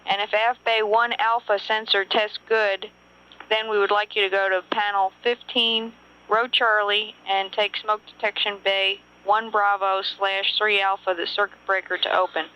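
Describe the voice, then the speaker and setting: Crisp woman mission controller on radio. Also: mid-range voice, level tone, normal speed, pushed and bright. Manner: crisp, procedural radio phrasing. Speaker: capsule communicator at mission control. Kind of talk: air-to-ground radio communication. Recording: dull lo-fi radio with faint hiss.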